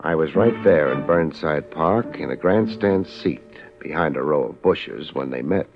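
An American actor playing a wry, laid-back but tough private investigator in a 1950s radio drama. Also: male voice, extremely low pitch, lively intonation, unhurried, creaky, heavily acted. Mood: wry, watchful. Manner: laid-back, wry, hard-boiled delivery. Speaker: lead actor and narrator. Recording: muffled old radio recording, music behind.

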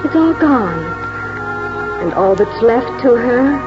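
A wistful, knowing mother in an old radio play. She speaks very slowly and poetically over prominent music, in a dull vintage recording. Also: fairly high pitch, big pitch swings. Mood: wistful, awed. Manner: measured, dramatic, poetic delivery. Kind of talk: 1940s radio acting.

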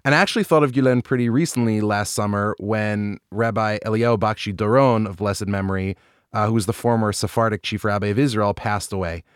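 A man hosting an interview podcast, speaking articulately with enthusiastic, lively emphasis. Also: on the low side, brisk, clear, resonant tone, no room sound, studio quality. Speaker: podcast host.

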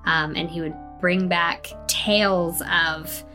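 Woman player, slow and hesitant, bright tone. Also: on the low side, steady tone, faint music behind, poor audio. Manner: unhurried, hesitant, many ums. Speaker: tabletop game player. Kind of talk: casual in-game backstory telling.

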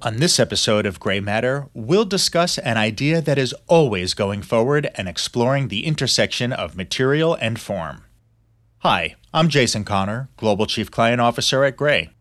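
A man's voice: mid-range voice, fairly bright tone, no room sound, studio quality. Man reading a formal guest introduction. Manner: reads a formal bio with measured emphasis. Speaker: podcast host.

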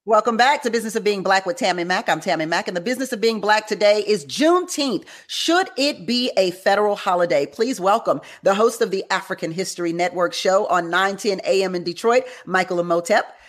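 A woman's voice: mid-range voice, a little fast, few pauses. A woman from Houston, Texas, speaking as the host of a panel discussion show.

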